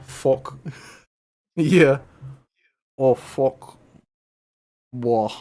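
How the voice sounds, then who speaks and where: A man's voice: mid-range voice, very slow, dark tone. A man hosting a live stream, chatting casually with frequent restarts and offhand swearing. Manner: stammering restarts, laid-back, swears casually. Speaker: streamer host.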